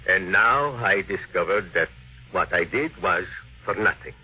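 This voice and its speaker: Solemn, resentful foreign engineer, 1950s radio drama. Low, rough-edged voice, formal delivery, muffled vintage recording. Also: male voice, expressive, unhurried, exaggerated voice. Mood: solemn, restrained. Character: grave, resentful foreign electronics engineer bent on justice.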